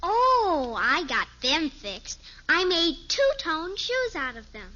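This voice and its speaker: Stubborn little girl from a 50s radio sitcom, super high whiny voice, noisy old tape. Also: sing-song, slow pace, sharp tone, heavily acted. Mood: proud, eager. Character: cheeky, stubborn young daughter in a 1950s family. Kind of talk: 1950s radio sitcom acting. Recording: noisy, muffled vintage radio recording.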